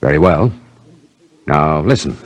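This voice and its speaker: An actor playing a shrewd, polite but commanding police inspector in a 1950s detective drama, with a dry, clipped, authoritative way of talking. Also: male voice, a bass voice, expressive, a little slow, over loud music. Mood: brisk, probing.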